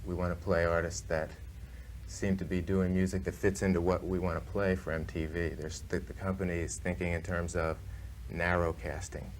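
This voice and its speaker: A man giving a measured, careful explanation in an interview, on an old archive recording. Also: low-pitched, flat delivery. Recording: faintly noisy archive interview clip.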